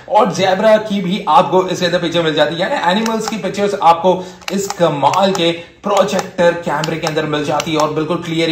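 A man with an Indian accent giving a gushing, enthusiastic toy demonstration as a review host, excitable and repetitive. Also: on the high side. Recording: echoey room with faint noise.

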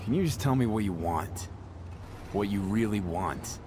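An actor playing a wary, streetwise young mercenary in a video game, with a blunt, terse, skeptical delivery. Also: male voice, lowish voice, animated, normal speed, background noise, natural voice.

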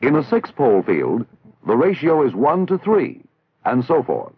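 A man's voice: medium pitch, huge pitch swings, slightly rough, no room sound. Tinny vintage American male training-film narrator. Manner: formal, measured, didactic enunciation. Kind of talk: scripted instructional narration, 1960s military training film. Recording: tinny, band-limited 1960s film soundtrack.

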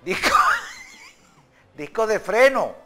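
A man's voice: high-pitched, big pitch swings, very slow, pushed and bright, shouting, faint music behind. A man in his sixties hosting a TV show from a studio, reading news, then joking his way through ad reads. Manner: jokey, bursts into laughter during ad reads. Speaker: TV host. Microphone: studio mic.

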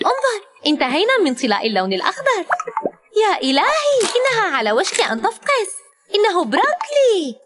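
A woman's voice: extremely high pitch, a bit bright. A woman narrating a children's educational cartoon, exclaiming and talking as if to a small child, with some noise in the recording. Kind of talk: scripted children's narration, teaching vocabulary.

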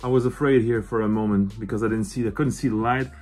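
A man in his thirties speaking casually and excitedly to camera like an informal vlogger, with some swearing. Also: lowish voice, quick pace, faint music behind. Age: 30s. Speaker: vlogger, horror collector. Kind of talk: casual show-and-tell review to camera. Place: small cluttered room indoors.

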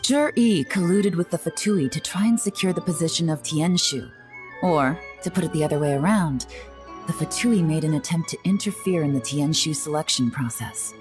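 A woman voicing a shrewd, composed young female intelligence agent in a video game, speaking in a measured, confident way. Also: sits low, close to an everyday voice. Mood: calculating. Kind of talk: video game dialogue acting. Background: game music.

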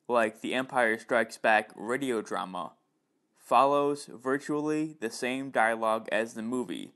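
A man's voice: mid-range voice, a bit bright. A man hosting a podcast, reading a scripted review. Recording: music behind the voice.

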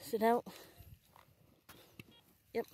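A woman in her thirties, an excited and chatty metal detecting vlogger, talking casually to camera outdoors in an open farm field. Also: extremely slow, a little hiss or hum. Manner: excited, chatty, self-correcting. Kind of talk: casual outdoor vlog narration. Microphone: handheld or body camera close up.

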